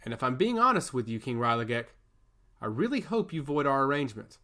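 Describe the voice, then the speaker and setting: Man playing evil zealot envoy, fantasy audiobook villain, gloating, deliberate threats, lively pitch swings. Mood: gloating menace.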